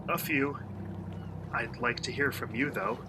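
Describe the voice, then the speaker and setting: An actor playing an earnest, guarded young outsider in a radio play, asking questions in a measured, hesitant way. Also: male voice, medium pitch, noisy background, close to an everyday voice. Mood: guarded, curious. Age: young adult.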